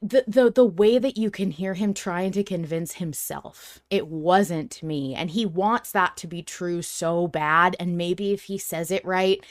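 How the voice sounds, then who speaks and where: Low, clear-voiced woman chatting earnestly. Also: unhurried, hardly any pauses, no room sound, studio quality. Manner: earnest, expressive, reflective. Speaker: podcast co-host. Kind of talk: casual fan discussion of a story.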